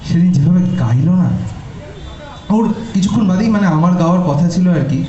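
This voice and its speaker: A man's voice: high voice, animated, fairly quick. An actor playing a wistful, lovestruck young man bantering casually in an audio drama. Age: young adult. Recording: dull, roomy recording under loud music.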